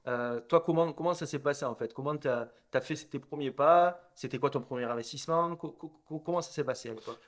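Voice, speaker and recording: A young man hosting an interview, asking questions briskly with many filler hesitations. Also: a little high. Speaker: interviewer, host. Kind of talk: interview host.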